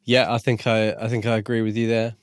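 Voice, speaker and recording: A man's voice: lowish voice, little pitch movement, fairly quick, clean tone, no room sound, studio quality. A man co-hosting a book podcast in casual, reflective discussion, thinking aloud in a tentative way.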